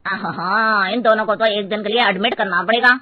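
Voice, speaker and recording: A woman voicing a brisk, sarcastic hospital doctor in a cartoon story. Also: medium pitch, steady tone, no room sound, phone-quality sound, lightly acted.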